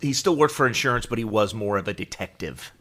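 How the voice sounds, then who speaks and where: A man's voice: fairly low pitch, animated, quick pace, a bit bright. A man co-hosting a podcast, explaining things in casual, agreeable and informative conversation. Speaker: podcast co-host.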